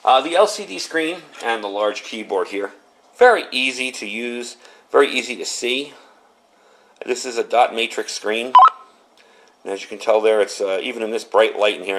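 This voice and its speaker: A man's voice: lowish voice, moderate pace, fairly bright tone, rough recording. Casual, plain-spoken man reviewing gear. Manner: plain-spoken, explanatory, conversational. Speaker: product reviewer. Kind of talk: casual unscripted product review and demonstration.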